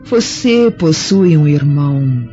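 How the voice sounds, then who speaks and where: Woman playing a sly, dark-toned Roman mistress. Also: low-pitched, lively intonation, slow pace. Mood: seductive, venomous. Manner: clinging, insinuating, sly. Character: venomous, seductive, cynical Roman mistress, woman. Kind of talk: radio drama acting. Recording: dull sound with faint music.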